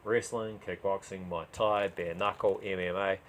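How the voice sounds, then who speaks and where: A man narrates a crime story he wrote. His voice sits low, and he tells it in the first person as a dramatised reading. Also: fairly even intonation, normal speed, slight background noise.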